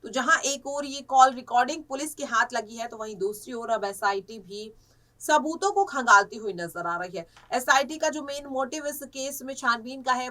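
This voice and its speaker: A woman delivers news commentary in a high, sharp and forceful voice. Her phrasing is emphatic and repetitive, like a presenter working through a report. She sounds a little away from the microphone. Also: recorded from a distance.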